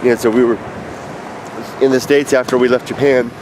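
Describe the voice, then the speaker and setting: A man in his fifties, stuffed up with allergies, leaving a rambling, halting video message full of fillers. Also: mid-range voice, little pitch movement. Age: 50s. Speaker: vlogger. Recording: noisy outdoor street recording.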